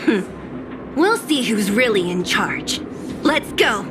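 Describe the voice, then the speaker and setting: A woman's voice: medium pitch, sing-song, slow, heavy background noise. A woman playing a bold, tough young female fighter in a video game, talking in a direct, punchy way. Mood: bold, wary.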